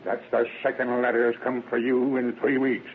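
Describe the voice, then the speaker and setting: A man's voice: medium pitch, little pitch movement, normal speed, a little hiss or hum, close to an everyday voice. An actor playing a stern, pious, stubborn old rural father with folksy rustic speech in a radio drama. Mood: stern, annoyed. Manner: gruff, folksy rustic talk. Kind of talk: 1930s radio acting. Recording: muffled phone-like vintage radio recording.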